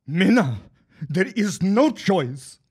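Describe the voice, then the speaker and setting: Man as a husky, troubled henchman. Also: sits high, big pitch swings, takes it slowly, full character voice. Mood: despairing. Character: anguished, subservient henchman of a reverend, a husband. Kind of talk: period audio drama acting.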